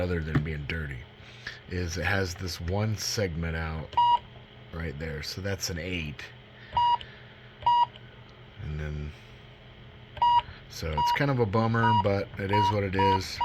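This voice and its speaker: A man's voice: low-pitched, measured pace, long pauses, heavy background noise. A man giving a casual, unscripted product walkthrough in a folksy, self-deprecating, rambling way. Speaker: radio hobbyist demonstrating a used CB radio.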